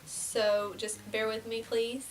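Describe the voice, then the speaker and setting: A young woman in her twenties, slightly nasal from a cold, chatting casually through a get-ready-with-me beauty vlog and dropping to soft half-whispers as she works. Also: on the high side, flat delivery, takes it slowly, recorded from a distance, slight background noise, rough recording. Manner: casual and rambling, drops to near-whispers while applying makeup. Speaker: beauty vlogger. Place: small indoor room before a plain backdrop.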